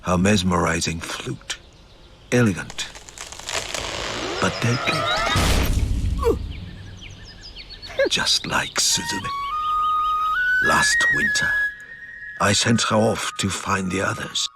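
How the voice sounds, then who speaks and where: A man voicing an ancient ninja master who narrates a game's story in a theatrical storybook manner, wise, fond and wistful, with measured dramatic pauses. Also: lowish voice, wildly swooping pitch, heavily acted. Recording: music behind the voice. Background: music.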